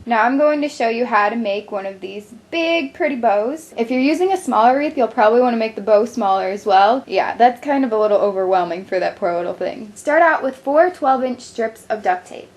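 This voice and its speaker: A young woman hosting a craft tutorial, explaining each step in a chatty, upbeat way while she is losing her voice. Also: on the high side. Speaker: craft channel host.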